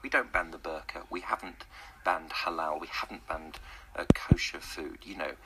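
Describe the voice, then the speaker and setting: A man's voice: low-pitched, steady tone, moderate pace, gravelly, heavy background noise. A man hosting a radio discussion, talking emphatically and rhetorically, with plenty of ums and uhs. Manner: emphatic, rhetorical, with many fillers. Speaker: radio host.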